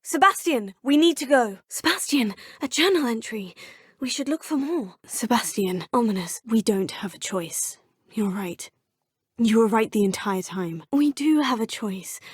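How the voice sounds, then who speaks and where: Young woman, game hero. Earnest, determined, slightly high, husky tone, dry close studio sound. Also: no echo. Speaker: player character voice clips. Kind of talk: game voice acting. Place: recording studio.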